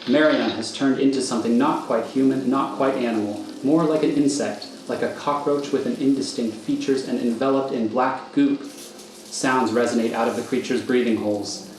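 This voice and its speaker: A man narrating scripted stage directions for a radio thriller in a measured, matter-of-fact manner. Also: mid-range voice, fairly even intonation. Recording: echoey room, faint noise.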